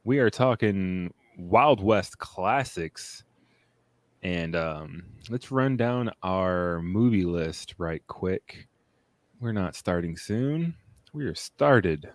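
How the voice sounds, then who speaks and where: A man hosting a casual film podcast, rambling and relaxed, often laughing between thoughts. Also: sits low, drawn-out words, dry recording. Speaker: podcast host.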